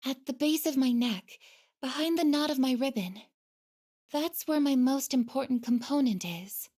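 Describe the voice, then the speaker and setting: A woman voicing a gentle, earnest female android in a video game, speaking softly and hesitantly with shy devotion. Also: high voice. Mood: hesitant, sincere. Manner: soft, hesitant, deliberate, tender. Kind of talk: video game voice acting, emotional dialogue.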